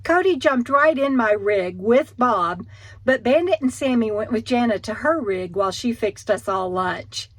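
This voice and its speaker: A woman's voice: fairly high pitch, animated, moderate pace, a bit bright, a little hiss or hum. A woman narrating her travel vlog in a chatty, friendly storytelling manner. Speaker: vlogger narrating. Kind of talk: voice-over narration.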